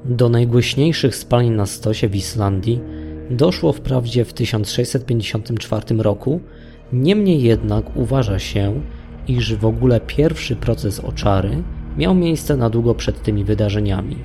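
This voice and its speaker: Man, audio documentary narrator, mellow tone, measured literary storytelling, background music. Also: fairly low pitch.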